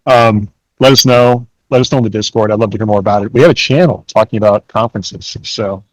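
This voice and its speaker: A man with a fairly low voice hosts a podcast in relaxed, slangy conversation. His manner is laid-back and chuckly, and the sound is dry, with no room around it. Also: fast talker, no room sound, poor audio. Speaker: podcast host. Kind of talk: casual chat.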